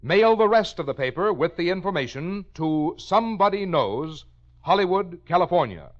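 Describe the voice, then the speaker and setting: A man's voice: high-pitched, big pitch swings, moderate pace. A man working as a radio announcer, reading a formal, carefully enunciated script in 1950s broadcast style. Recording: muffled 1950 radio broadcast, faint music.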